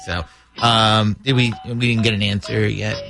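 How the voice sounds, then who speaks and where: A man hosting a morning radio show, bantering in a lively way with a caller and colleagues. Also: fairly low pitch, normal speed, gravelly, soft background music.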